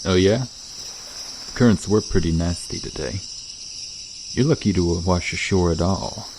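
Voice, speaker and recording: A man voicing a curious, gentle, shy mer-shark in an ASMR roleplay, speaking casually and hesitantly, often trailing off. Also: low voice, measured pace, a little hiss or hum. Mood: friendly, curious. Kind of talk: ASMR roleplay, soft conversational acting to the listener. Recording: nature ambience with chirping insects. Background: crickets and outdoor night ambience.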